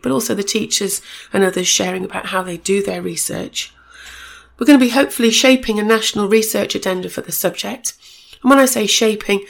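Woman, podcast host, gravelly croaky voice, chatty and informative. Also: medium pitch. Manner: chatty, informative, self-correcting. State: a bit croaky voice. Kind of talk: solo podcast update.

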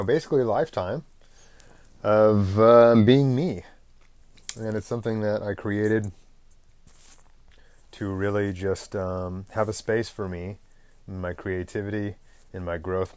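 A man with a fairly low voice hosts his own podcast in a loose, unscripted monologue. He rambles from thought to thought, pauses on fillers and clears his throat now and then. The close microphone leaves the sound dry, with no room around it. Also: dry recording. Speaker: podcast host.

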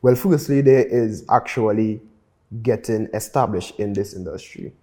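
A man's voice: on the low side, moderate pace, dark tone. A man with a Trinidadian accent, talking casually and conversationally as a guest music producer in an interview.

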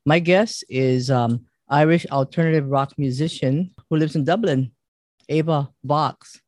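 A man hosting his own interview podcast, asking halting questions with fillers. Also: fairly high pitch, a little mellow, no echo, clean studio sound. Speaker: podcast host.

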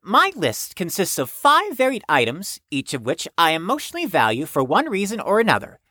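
A woman's voice: fairly low pitch, huge pitch swings, brisk, bright, forceful tone, no room sound, studio quality, heavily acted. A woman playing a formal, pedantic, earnest psychiatric patient in an audio drama, with precise, stilted, wordy speech.

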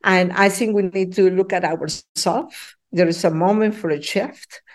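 A middle-aged or older woman from Peru answers interview questions in a slightly low voice. Her English has a Peruvian Spanish accent, and she speaks earnestly in halting, searching phrases. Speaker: professor, podcast guest.

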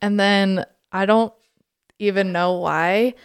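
Young woman, twenties, podcast guest; smooth, dry-sounding voice, chatty storyteller. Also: mid-range voice, steady tone, slow pace, no echo. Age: 20s. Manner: chatty, lots of "like" fillers. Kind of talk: casual storytelling.

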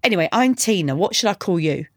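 Woman voicing a sarcastic young punk woman, lively pitch. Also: fast, no echo, studio quality. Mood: deadpan teasing. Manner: sarcastic, deadpan, taunting. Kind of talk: audiobook character dialogue.

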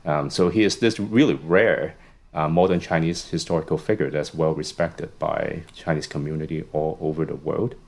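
A young man from Hong Kong, a psychology professor, speaking as a podcast guest and telling a long story in a relaxed way with many "um"s and "you know"s. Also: rumbling low, normal speed, gravelly. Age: young adult. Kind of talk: casual podcast conversation.

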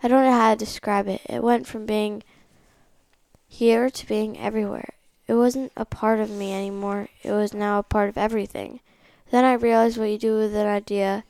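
A woman's voice: medium pitch, level tone, normal speed, a little mellow, dry recording. A woman reading a picture book aloud as the host of a read-along.

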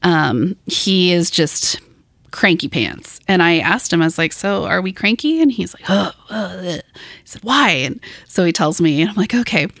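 A woman's voice: lowish voice, normal speed, creaky, no echo. Chatty podcast lady telling stories, laughs a lot, voice a bit off from allergies, dead dry mic. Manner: chatty, laughs often, lively storytelling. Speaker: podcast co-host. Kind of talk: casual chat. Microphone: podcast mic in a small room.

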